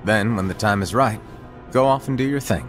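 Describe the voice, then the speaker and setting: An actor playing a playful, mischievous master and mentor in a game cutscene, teasing and theatrical. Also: male voice, fairly low pitch, music in the background, lightly acted. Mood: breezy instructing. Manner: theatrical, teasing, drawn-out wordy delivery. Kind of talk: animated game cutscene dialogue.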